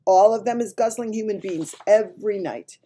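A woman voicing a gentle, runty male giant from a children's storybook, talking in muddled grammar and made-up words. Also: medium pitch, normal speed, slightly rough, voice pushed up, close to an everyday voice. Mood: emphatic. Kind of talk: dramatised children's storybook reading.

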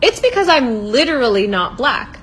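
A woman's voice: a little high, big pitch swings, normal speed, a bit bright. Young woman from south india, firm, explaining herself to the phone cam, faint hiss behind. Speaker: content creator. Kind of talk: direct-to-camera response video. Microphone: phone camera, close.

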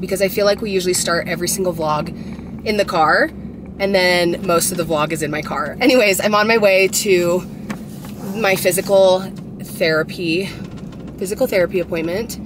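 A young woman with a slightly raspy voice, chatting casually to a dashboard camera while she drives, rambling with lots of "like". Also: on the low side, brisk. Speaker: vlogger. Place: inside a car while driving. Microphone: camera mounted on the dashboard. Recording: road and engine noise in a car. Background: car noise.

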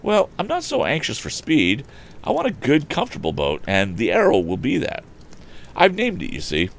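A man's voice: medium pitch, wildly swooping pitch, moderate pace, vocal fry, a little hiss or hum, voice pushed up. A man voicing a plucky, determined young inventor, the hero of a storybook, in an earnest, firm manner. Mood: eager.

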